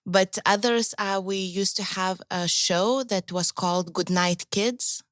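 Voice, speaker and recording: A woman's voice: mid-range voice, measured pace, a bit bright, no room sound, clean studio sound. A young Lithuanian woman with a Lithuanian accent, speaking English as a second language, reflecting thoughtfully as an interview guest. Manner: thoughtful, searching for words in English. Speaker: actress, guest. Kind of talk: interview conversation.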